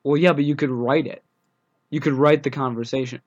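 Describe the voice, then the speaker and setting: A young man with a scratchy, cold-roughened voice talks casually as a podcast co-host. His humor is dry and deadpan, and his voice sits at a middling pitch. He comes through a dull, muffled phone line. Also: a little fast. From United States. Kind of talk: casual chat.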